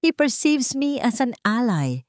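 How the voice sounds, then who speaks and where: A guarded young Filipino policewoman working undercover, played by a woman narrator. She speaks in a measured, careful way, conflicted but controlled, as in an audio storybook, with a very dry sound. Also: on the high side, big pitch swings, a little mellow, dry recording, voice pushed up. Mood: formal, clinical.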